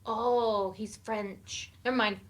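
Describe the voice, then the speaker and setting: A woman's voice: medium pitch, steady tone, slow. An actress in a live play reading, playing a blunt, wisecracking mother in an adult class, casual and sardonic. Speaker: actor in a staged play reading.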